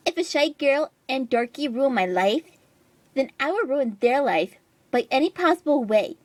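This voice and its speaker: A woman puts on a very high, whiny young female artist in an online rant video. She is aggrieved, self-pitying and vengeful, complaining melodramatically in a close, dry recording. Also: expressive, moderate pace, a little mellow, no echo. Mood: bitter, vengeful.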